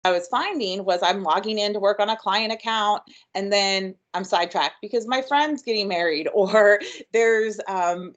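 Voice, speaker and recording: A woman giving an upbeat, chatty webinar presentation with frequent ums. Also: mid-range voice. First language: English. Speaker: workshop presenter.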